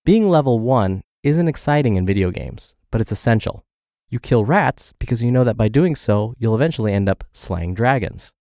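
A man's voice: mid-range voice, lively intonation, moderate pace, dark tone, no room sound, clean studio sound. A man hosting a podcast, reading health and fitness blog posts aloud while congested and scratchy from the flu. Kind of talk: read-aloud narration.

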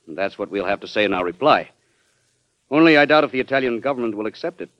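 An actor playing a sober, formal of State in a classic radio drama. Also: male voice, mid-range voice, animated, fast talker, dry recording, close to an everyday voice. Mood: sober, measured. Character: sober, formal Secretary of State bearing grave news. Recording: dull, dry vintage radio recording.

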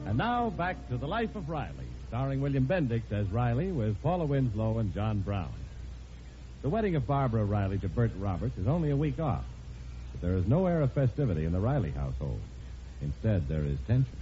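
A man's voice: mid-range voice, very animated, brisk, dark tone, faint music behind. A man delivering scripted narration as an announcer in vintage radio style. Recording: muffled old radio recording.

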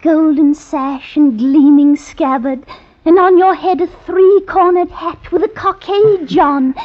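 Scheming wife, high voice, slow theatrical delivery, wheedling, vintage radio drama. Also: female voice. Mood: coaxing, dreamy. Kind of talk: old-time radio drama acting. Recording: noisy, dull old radio recording.

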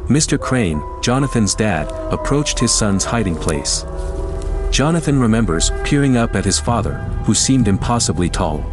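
A man's voice: fairly low pitch, big pitch swings, moderate pace, over music. A man reading scripted narration for an audio drama as its narrator.

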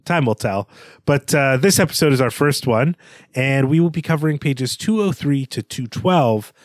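A man's voice: medium pitch, animated, normal speed, no room sound. Thoughtful male podcast co-host, dry sound. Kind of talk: conversational literary analysis.

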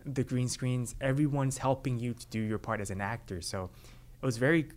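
A young man, an actor who grew up speaking Cantonese and English, answering interview questions in an earnest, relaxed way with fillers. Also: medium pitch, fairly quick.

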